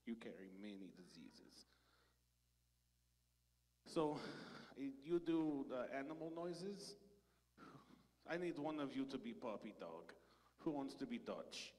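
A man playing a bumbling foreign male ex-scientist in a church skit, speaking broken English in a deadpan, sighing way. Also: medium pitch, takes it slowly, long pauses. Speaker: children's skit performer. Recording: long hall reverb.

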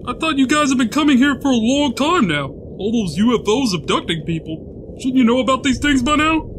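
A panicky, bewildered male abductee from a comic played by a man. His intonation jumps around as he nervously protests. There is clear noise in the background. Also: very high voice, expressive, brisk. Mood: nervous, protesting. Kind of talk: comic-book dub.

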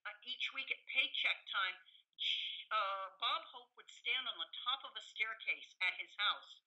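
A woman's voice: on the high side, expressive, a little slow, pushed and bright, rough recording. A middle-aged or older woman talking as an expert guest in a conversational interview, telling anecdotes in a chatty, opinionated way. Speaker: guest expert, professor and author.

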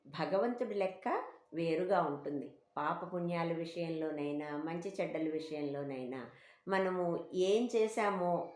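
A woman's voice: on the low side, darkish tone. A woman telling a moral bedtime story for children in a conversational, explanatory, didactic way. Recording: roomy, echoing home recording.

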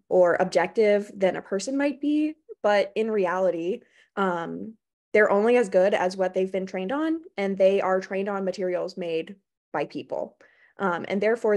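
A young American woman giving an informal, explanatory presentation as an academic librarian on a video call, pausing often with ums. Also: mid-range voice, darkish tone. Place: small indoor room on a video call.

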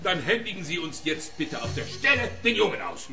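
A man's voice: high-pitched, big pitch swings, fairly quick, gravelly, heavily acted. A man playing a pompous, bossy factory director with a formal, officious manner in a children's radio play. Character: pompous, bossy male factory director, grey-haired villain. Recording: echoey room, music behind.

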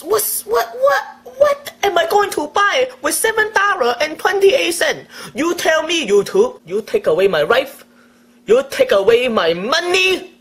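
A man's voice: very high-pitched, heavily acted. A man doing a furious ranter. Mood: ranting. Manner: shouting, profane, broken English. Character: hot-tempered foul-mouthed Chinese male video maker. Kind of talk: comic rant to camera.

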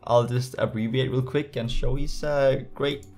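A man's voice: medium pitch, fairly even intonation, measured pace, a little mellow. Sarcastic young Danish man vlogging. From Denmark. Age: young adult. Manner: sarcastic, laughing, rambling with restarts. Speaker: YouTuber. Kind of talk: casual vlog reading comments. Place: small bedroom, indoors. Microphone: USB desk mic.

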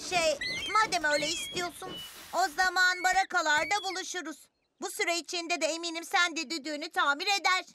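A woman voicing a kind, friendly young male steam engine in a children's cartoon dub, gentle and earnest. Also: very high-pitched, animated, brisk, noisy background. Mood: concerned, reassuring. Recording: music behind the voice.

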